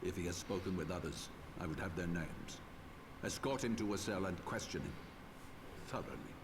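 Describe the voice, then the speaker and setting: A man's voice: low-pitched, moderate pace, noisy background. An actor playing a proud, self-righteous old archbishop and father in a video game, speaking in solemn, grandiose oratory. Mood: cold command. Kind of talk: dramatic video game voice acting.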